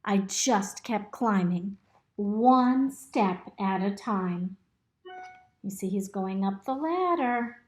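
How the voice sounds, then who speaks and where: A woman reading a picture book aloud to young children in an expressive, instructive way, pausing to ask them simple questions. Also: medium pitch. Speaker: storybook reader. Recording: roomy, echoey indoor recording.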